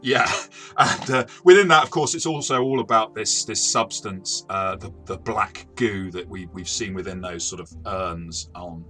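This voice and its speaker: A man's voice: deep voice, a little slow, hardly any pauses, fairly bright tone, soft background music. A man co-hosting a review, talking in a casual, discursive and explanatory way. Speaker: co-host and game reviewer.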